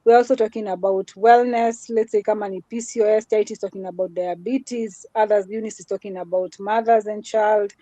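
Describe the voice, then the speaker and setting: A woman's voice: medium pitch, steady tone, brisk, hardly any pauses, mellow, no echo. A woman with a small voice, giving an earnest motivational talk as a guest speaker in a live session. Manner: earnest, repetitive, mixes Swahili into English.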